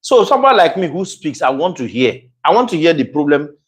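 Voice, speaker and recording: A man chatting casually with his wife for their online audience. He speaks with a loud voice and animated delivery. His pitch rises and falls widely across the conversation. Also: brisk. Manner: loud, animated, addressing viewers. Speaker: husband co-hosting a couple's channel. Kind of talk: casual chat.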